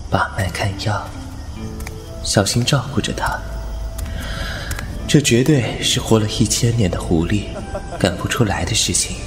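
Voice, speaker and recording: A man voicing a thousand-year-old male fox spirit, speaking softly and slowly in a quietly melancholy audio-drama monologue. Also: on the low side, lightly acted. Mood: wistful. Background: loud music score.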